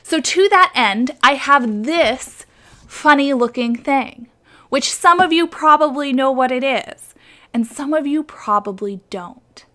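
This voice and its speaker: A woman talks to children in a fairly high voice, taking her time. She explains things simply, step by step, in show-and-tell style. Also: bright, forceful tone. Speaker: children's story presenter at church.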